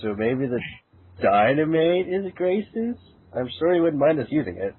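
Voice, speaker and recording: A man's voice: on the high side, big pitch swings, moderate pace, husky. A man playing a reckless, flippant, eager man in an amateur comedic audio drama. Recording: tinny phone-like lo-fi sound.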